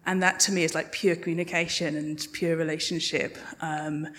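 A woman's voice: low voice, level tone, moderate pace, fairly bright tone, slight background noise. A woman speaking as a guest in a live interview, reflective and earnest. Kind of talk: thoughtful live panel interview. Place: live podcast festival stage.

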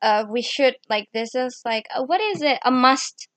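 A woman's voice: high voice, lively intonation, moderate pace, fairly bright tone, dry recording. A teenage girl hosting a chat, often stuttering and restarting, a native Indonesian speaker. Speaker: podcast host. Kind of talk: casual chat.

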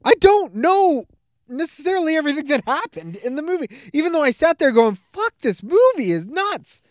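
A podcast co-host retells a strange film in loose, animated chat, swearing freely. The pitch swings widely as they talk. The sound is dry and close. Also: normal speed, dry recording. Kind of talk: casual film review chat.

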